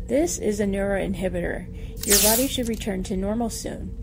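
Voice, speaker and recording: A woman plays an authoritative female ship's doctor in a science fiction audio drama. She speaks in a clipped, clinical way at a middling pitch. Music plays clearly underneath. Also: normal speed, natural voice. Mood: calm, explaining. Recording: music behind the dialogue.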